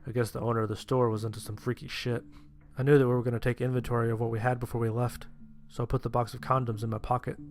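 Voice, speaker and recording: A man's voice: mid-range voice, little pitch movement, quick pace, a little mellow, natural voice. A man playing a sheltered, anxious young survivor, narrating his story in the first person in a plain-spoken way. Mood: narrating, sheepish. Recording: music behind the voice.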